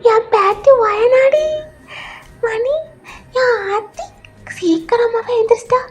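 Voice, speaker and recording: Casting: woman playing elderly grandmother for audio drama, very high voice, tearful, loving, pleading and wailing. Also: takes it slowly, faint music behind. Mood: fond. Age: older. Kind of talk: acted audio story.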